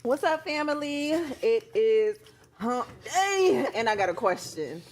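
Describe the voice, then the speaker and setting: A woman's voice: fairly high pitch, sing-song, slow, slight background noise. An American woman on a talk show panel, chatting casually in a candid, colloquial way.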